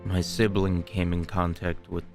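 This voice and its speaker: Male gaming host, laid-back offhand delivery, very low and slow, game music clearly behind. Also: little pitch movement. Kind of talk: casual game playthrough, reading lines aloud.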